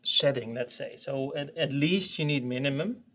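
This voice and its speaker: Halting man explaining technical settings. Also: mid-range voice, sharp tone. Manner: halting, repeats words and searches for phrasing. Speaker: product expert explaining software. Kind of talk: informal technical explanation in an online training.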